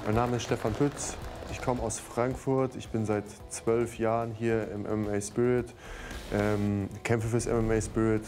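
A man, a fight coach, introducing himself in a calm, straightforward way. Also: lowish voice, unhurried, faint music behind. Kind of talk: reality show interview.

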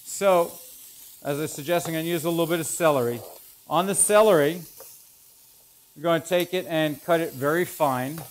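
A man in his forties, a chef instructor, explaining each step in a relaxed way as he cooks in a large teaching kitchen. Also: a little high, normal speed, long pauses. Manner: relaxed, explanatory, thinking aloud while working. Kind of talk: cooking demonstration. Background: food sizzling in a pan.

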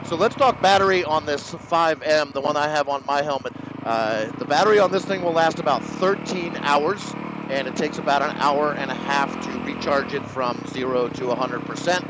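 A man's voice: a little high, normal speed. Man talking over a helmet intercom. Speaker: product reviewer riding a motorcycle. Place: outdoors on a motorcycle trail. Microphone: helmet intercom boom mic. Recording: intercom audio with loud wind and engine noise.

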